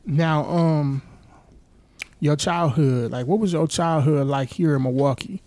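A man's voice: a little high, measured pace, a little mellow. A man with an American accent interviewing in a casual, slangy, conversational way. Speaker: interviewer, show host.

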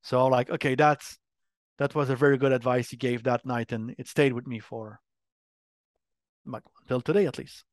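A middle-aged French Canadian man, a native French speaker, answering interview questions in a candid, animated way. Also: mid-range voice, moderate pace, pauses often, no echo. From Quebec, Canada. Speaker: guest, brand marketing head.

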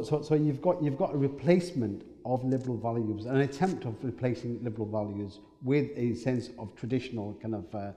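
A man speaking as an academic panelist, measured and hesitant, with frequent "kind of" and restarts. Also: medium pitch, mellow. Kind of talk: panel discussion. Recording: echoey hall.

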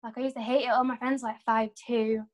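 A woman's voice: on the high side, level tone, brisk. A young woman talking reflectively about herself, filling her speech with "like". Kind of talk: casual group chat.

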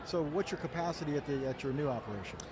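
A man's voice: a little high, animated, noisy background. A man hosting an interview, asking questions with frequent fillers and restarts. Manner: measured, halting with fillers. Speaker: guest host. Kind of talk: conversational interview. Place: outdoor event.

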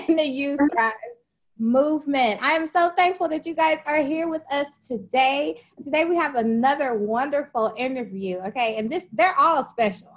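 A woman hosting a casual interview show as a life coach, chatty and upbeat, often checking in with "okay?" and laughing easily. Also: sits high.

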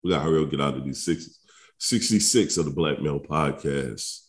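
A young man co-hosting a casual podcast, sounding stuffy and congested, laughing through crude banter and clearing his throat often. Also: very deep voice, fast. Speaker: podcast co-host. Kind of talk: casual chat.

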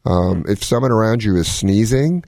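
A man's voice: on the low side, animated, normal speed, gravelly, darkish tone, no room sound, studio quality. A man hosting a radio talk show, giving casual, folksy health advice with plenty of joking. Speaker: medical expert radio host.

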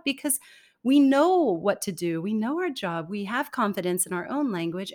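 A woman in her thirties, speaking as an interview guest in a relaxed, animated way and laughing often. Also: on the low side. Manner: animated, laughs often, acts out quoted remarks. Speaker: guest, communication expert. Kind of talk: conversational interview.

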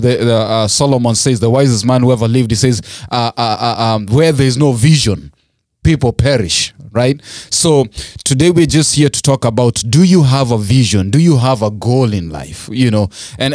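A man in the middle of the male range hosts an interview. He asks questions with lively energy and often restarts mid-sentence. The recording is dry and close. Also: mid-range voice, moderate pace, dry recording. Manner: animated questioning, frequent restarts and fillers. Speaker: podcast host. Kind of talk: interview host.

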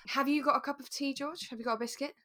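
A woman's voice: high-pitched, steady tone, quick pace, a bit bright. Effusive woman chatting casually as co-host. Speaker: podcast co-host. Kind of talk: relaxed casual chat about a radio drama.

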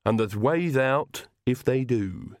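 A man voicing a loyal, proud, gloomy monstrous castle manservant in an audiobook, with slow, deliberate, deferential talk. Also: mid-range voice, animated, no echo, studio quality. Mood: wistful.

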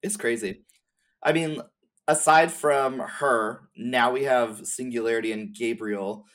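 A man's voice: mid-range voice, measured pace, fairly bright tone. A man talking casually as a podcast guest, in an effusive, slangy way. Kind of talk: casual chat.

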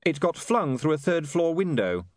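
A man's voice: fairly high pitch, sing-song, moderate pace, dry recording, clean studio sound, voice pushed up. A man voicing a driven male newspaperman who speaks sharply and gives orders, in a fantasy audiobook. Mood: earnest. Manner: commanding, sharp.

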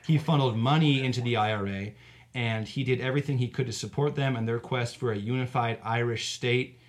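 A man's voice: medium pitch, brisk. A man co-hosting a comedy podcast, talking in an opinionated, casual way. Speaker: podcast co-host.

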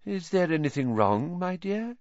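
A man voicing a dreamy, fussy female divination teacher who talks softly and dramatically. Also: high-pitched, sing-song, normal speed, no room sound, highs cut off, voice pushed up. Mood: dreamy, dismissive. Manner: soft, whispery, dramatic.

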